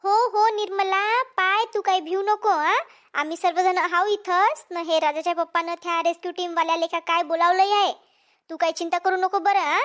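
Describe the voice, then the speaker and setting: A woman's voice: very high-pitched, fairly even intonation, normal speed, a bit bright. A woman with a Vidarbha Marathi accent playing a bossy village wife who leads her neighbours, in a chatty, emphatic cartoon style. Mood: reassuring. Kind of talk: amateur cartoon comedy voice acting.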